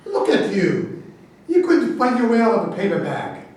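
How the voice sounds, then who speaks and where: A man's voice: high voice, very animated, normal speed, a little mellow. A man playing a touchy, self-important army general in a staged reading of a satirical play, argumentative and accusing. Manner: pompous, argumentative. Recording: very echoey room, distant mic.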